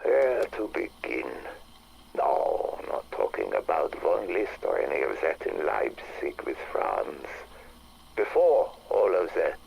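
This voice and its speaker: Very deep, creaking old occultist. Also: male voice, wildly swooping pitch, darkish tone, slight background noise, full character voice, poor audio. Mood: wistful, entranced. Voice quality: gravelly, breathy, dropping into whispers. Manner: slow, halting, dramatic reminiscing. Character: elderly occult scholar recalling his boyhood, wistful and obsessive. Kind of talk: scripted game audio log monologue.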